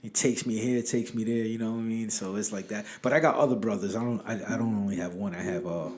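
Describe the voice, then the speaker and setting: A man's voice: fairly low pitch, steady tone, fast, darkish tone. A man from Rhode Island by way of New York, chatting casually as a podcast guest, with slangy, rambling talk that circles back on itself. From born in Harlem, New York, raised in Rhode Island. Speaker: guest, rapper.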